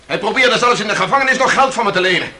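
A man's voice: sits high, lively intonation, rapid-fire, bright, forceful tone, exaggerated voice. An actor playing an impulsive, embittered man on trial for murder in a radio courtroom drama. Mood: indignant outburst. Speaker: defendant. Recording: noisy old radio play recording.

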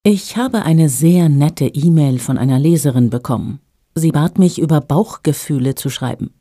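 A woman whose native language is Russian, reading her own magazine column aloud in a measured, clear way for language learners. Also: sits low, dark tone, dry recording, studio quality.

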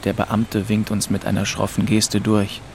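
A man's voice: on the low side, completely flat delivery, measured pace, gravelly, darkish tone, a little hiss or hum. An actor playing a weary, bitter young man who narrates his own story in an audio drama. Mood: subdued narration. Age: young adult.

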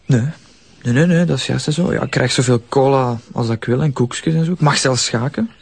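A captive Flemish boy, wary yet frank. His voice is husky and creaky, and he talks hesitantly in a naturalistic radio play. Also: medium pitch, fairly quick, dry recording. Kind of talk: naturalistic audio drama acting.

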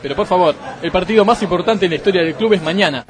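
An actor with an Argentine accent playing a pompous, hapless, exasperated football club president in a comic radio sketch, formal and pleading. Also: male voice, high voice, fast, a crowd in the background, close to an everyday voice.

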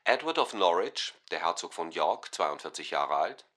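A man's voice: sits low, sing-song, moderate pace, fairly bright tone, full character voice. A man announcing in a radio play, documentary style, with factual, clipped delivery.

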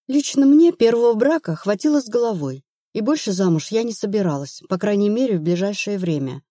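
A woman's voice: medium pitch, expressive, brisk, mellow, dry recording, studio quality, natural voice. A woman narrator playing an anxious, stubborn woman of thirty-one in an audiobook, plaintive and earnest. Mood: uneasy confiding.

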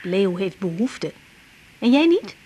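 An actress playing a teasing, affectionate young bride and doctor in a radio drama, with a Netherlands Dutch accent. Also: female voice, mid-range voice, slow pace, slight background noise. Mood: concerned, gently teasing. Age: young adult. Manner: teasing, animated, stammers when flustered.

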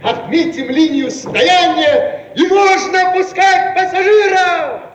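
Earnest young-spirited worker comrade, very high voice, eager, declamatory, radio play, distant from the mic. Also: male voice, slow pace, faint music behind. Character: eager, upbeat male Soviet worker-inventor, earnest comrade. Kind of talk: theatrical radio play acting. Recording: echoey, distant archival radio-play recording.

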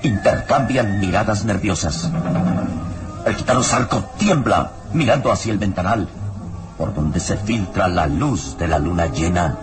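A man's voice: low-pitched, unhurried. A man narrating a radio serial from a script in a dramatic, suspenseful and emphatic style. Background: dramatic music.

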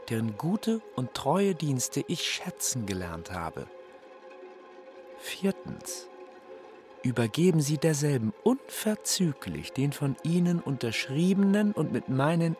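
An actor in a radio play voicing a menacing, imperious phantom who speaks in a formal, commanding, deliberate way. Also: male voice, mid-range voice, darkish tone. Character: menacing, imperious opera phantom dictating his demands. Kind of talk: German radio play acting. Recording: music behind the voice.

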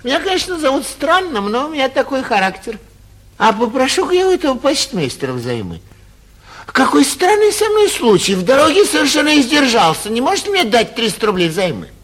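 A man playing a foppish, carefree young gentleman from the capital in a classic Russian stage comedy for radio, chatty and airy with a self-satisfied manner. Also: extremely high pitch, wildly swooping pitch, a little fast, full character voice. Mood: breezy, genial. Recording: muffled, husky 1949 radio theatre recording.

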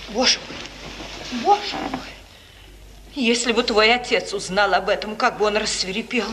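A woman's voice: mid-range voice, close to an everyday voice. An actress as a commanding, anxious mother, slightly distant. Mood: alarmed. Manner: emphatic, theatrical, persuasive. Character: anxious, worldly, commanding mother counselling her daughter. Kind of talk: 1950s radio theatre tragedy acting. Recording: old hissy radio recording, slightly distant.

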